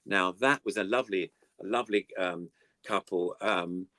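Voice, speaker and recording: Older male, deep voice, dry sound, casual reminiscing puppeteer guest, enthusiastic and rambling. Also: normal speed, bright, forceful tone, no room sound. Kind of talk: casual chat, reminiscing about old TV puppetry.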